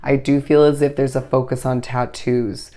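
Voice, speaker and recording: A tarot reader talking straight to camera, hoarse from a recently lost voice. The delivery meanders through intuitive hunches and keeps turning back to the listener with reassurance. The pitch sits right in the middle. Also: level tone, normal speed, warm tone. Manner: reassuring, addresses the listener directly. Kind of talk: solo tarot reading to camera.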